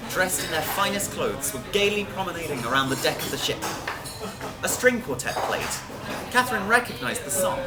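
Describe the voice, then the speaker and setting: A woman's voice: medium pitch, rough recording. A woman reading a scripted story aloud as a narrator, with music behind her. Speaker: reader.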